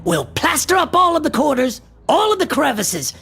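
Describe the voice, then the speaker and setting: A man's voice: very high-pitched, sing-song, normal speed, gravelly, bright, forceful tone, soft background music, full character voice. A male actor playing a frantic, obsessed occultist in a horror radio play, declaiming in a theatrical, grandiose style. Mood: frantic urgency.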